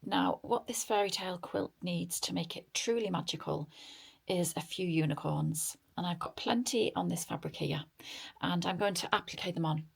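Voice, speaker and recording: A woman's voice: on the low side, normal speed, gravelly, husky. A British woman giving a casual, chatty craft tutorial as a vlog host. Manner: chatty, informal.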